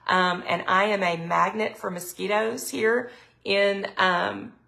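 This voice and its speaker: A middle-aged woman, chatting casually on a live sales stream, her throat a little raspy from allergies. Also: mid-range voice, steady tone, slow, bright, forceful tone. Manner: chatty, lots of ums, reads viewer comments aloud. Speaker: product consultant hosting a live sales stream.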